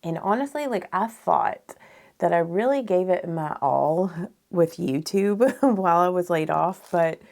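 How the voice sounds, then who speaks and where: A woman in her thirties with a froggy, raspy voice, chatting candidly and ramblingly as a beauty vlogger doing a makeup tutorial indoors. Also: lowish voice, lively intonation, unhurried, a little mellow. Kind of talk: casual chat during makeup tutorial. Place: small furnished room indoors.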